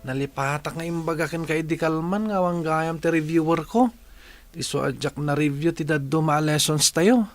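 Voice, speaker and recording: A man's voice: fairly high pitch, moderate pace, natural voice. Man as a polite, lightish-voiced schoolboy. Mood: earnest. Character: poor, polite schoolboy asking a classmate for help. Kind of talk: radio drama acting.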